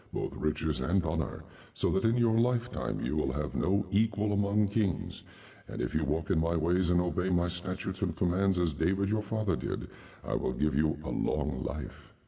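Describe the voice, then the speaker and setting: Very deep, gravelly voice of God. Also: male voice, lively intonation, dark tone, full character voice. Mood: solemn, benevolent. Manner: solemn, measured pronouncement. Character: God speaking to a king, solemn, majestic, benevolent. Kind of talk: dramatized scripture reading.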